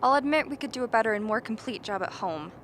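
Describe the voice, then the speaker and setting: A woman's voice: a little high, fast talker. A woman playing a rational, argumentative scientist in a radio play, lecturing precisely with dry mockery. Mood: reasoning. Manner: lecturing, precise, dryly mocking. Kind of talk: dramatic radio play acting. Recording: faint steady background noise.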